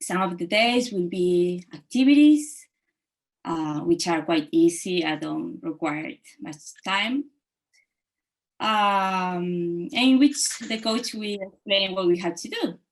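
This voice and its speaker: A woman's voice: lowish voice, takes it slowly. A woman hosting and introducing a team challenge session on a video call, with frequent fillers. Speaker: host.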